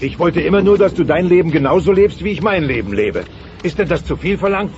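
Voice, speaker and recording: A blustering middle-aged dinosaur dad played by a man, high-voiced and swooping with theatrical flair. He is bossy and melodramatic, heard over music on a dull cassette-like recording. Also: lively intonation, a little fast, darkish tone, a little hiss or hum, natural voice. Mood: reflective. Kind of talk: German-dubbed sitcom radio play.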